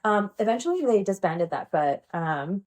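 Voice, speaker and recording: A woman's voice: mid-range voice, expressive, moderate pace, a little mellow. Bubbly giggly woman, heavy fillers. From United States. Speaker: guest, pole dance instructor and playwright. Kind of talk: casual interview chat.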